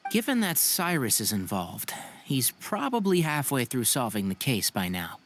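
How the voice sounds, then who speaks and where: An actress playing a calm, serious, alert investigator in a video game, asking questions in a brisk, probing manner. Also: female voice, extremely low pitch, full character voice. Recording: music behind the voice.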